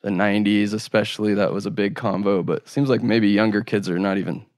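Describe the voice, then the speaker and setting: A man's voice: fairly low pitch, level tone, quick pace, a little mellow, no room sound, studio quality. A man hosting a podcast interview, speaking conversationally in a thoughtful, articulate way.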